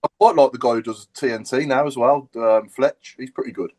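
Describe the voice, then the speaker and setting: A man in a casual group chat on a football podcast. He bantering his way along at a very quick clip, in an ordinary mid-range voice. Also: mid-range voice, steady tone, a little mellow.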